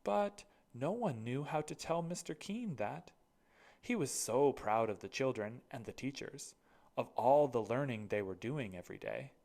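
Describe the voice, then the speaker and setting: A storyteller reading a children's picture book aloud with expressive narration. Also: mid-range voice, vocal fry.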